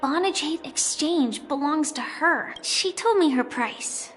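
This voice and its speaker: An actress voicing a quiet young woman on the run in a game, speaking softly and reflectively. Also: high voice, expressive, slow pace, soft background music, full character voice. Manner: soft, reflective, hushed. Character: quiet young woman fugitive, soft and melancholic. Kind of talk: game dialogue.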